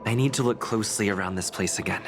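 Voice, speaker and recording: A man's voice: on the low side, fast, lightly acted. A man playing an earnest young adventurer in a video game, talking to himself in a wondering, searching way. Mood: puzzled. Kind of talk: video game protagonist dialogue. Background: music.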